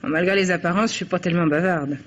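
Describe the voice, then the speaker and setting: A woman's voice: on the low side, fast talker, close to an everyday voice. An actress playing a frank, world-weary woman in her forties who runs a café, in an old French radio drama. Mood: wry, curious. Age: 40s. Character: world-weary forty-year-old café owner, former gangster's companion. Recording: muffled old radio recording, background hiss.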